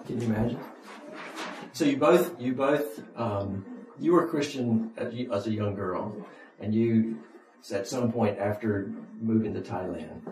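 A man's voice: on the low side, roomy sound, distant mic, noisy background. A man, seemingly the pastor, interviewing guests during a morning church service. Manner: encouraging, short affirmations.

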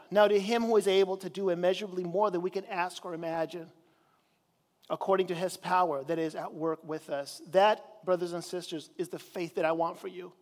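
A man's voice: high-pitched, long reverb tail. A man from Chile preaching a sermon in a conversational way, drawing out key words for emphasis. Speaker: pastor. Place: church sanctuary.

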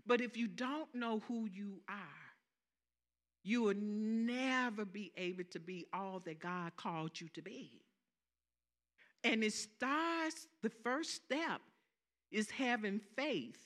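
A woman's voice: medium pitch, animated, slow, a bit bright. A woman with a Southern accent preaching a sermon, emphatic, repeating key phrases and calling out for amens.

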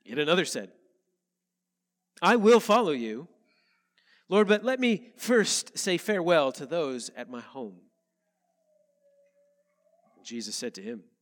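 Nasal-sounding pastor preaching in a reverberant church. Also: male voice, medium pitch. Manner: earnest, measured preaching with slow emphatic phrases. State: slightly nasal from a head cold. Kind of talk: sermon with scripture reading. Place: church sanctuary.

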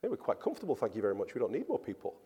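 A man's voice: mid-range voice, huge pitch swings, rapid-fire, mellow, breathy edge, highs cut off. A man preaching a sermon as a pastor, earnest and emphatic, often repeating words for stress. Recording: church hall with long reverb.

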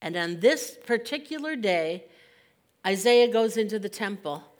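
Woman, 60s, missionary speaker; earnest, reflective storytelling, mid pitch. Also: expressive, a little slow. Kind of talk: sermon with personal testimony. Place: church service.

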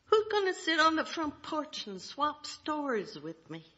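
A woman's voice: high-pitched, wildly swooping pitch, unhurried, pushed and bright, voice pushed up, heavily acted. A woman voicing a motherly, plainspoken Southern creator figure from a folk tale, homespun, emphatic and firm. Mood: lonely, wistful. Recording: dull, lo-fi live recording.

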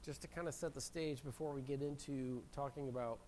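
A male pastor preaching a sermon in a church, hoarse from a cold and often clearing his throat. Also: mid-range voice, steady tone, a little fast, darkish tone, slight background noise. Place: church sanctuary. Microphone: handheld mic.

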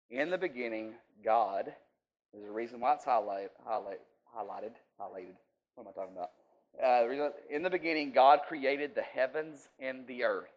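A man's voice: mid-range voice, dull audio. A man preaching an informal sermon in a folksy, conversational way, checking in with his listeners often. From rural US.

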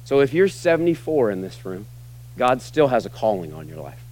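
A middle-aged American man preaching a sermon to a church congregation, emphatic, moving between loud, punchy lines and quiet, low asides. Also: very animated, fairly quick, no room sound, poor audio. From United States. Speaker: pastor. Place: church sanctuary.

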